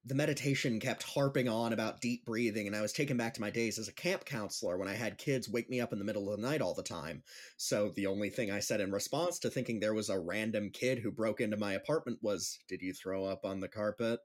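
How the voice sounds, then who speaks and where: A man's voice: lowish voice, fast, lightly acted. A man playing a kindly, insecure gym teacher and amateur comedian, speaking hesitantly and earnestly in an audio drama. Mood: nervous comic routine. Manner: hesitant, earnest, self-deprecating. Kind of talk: scripted audio drama acting.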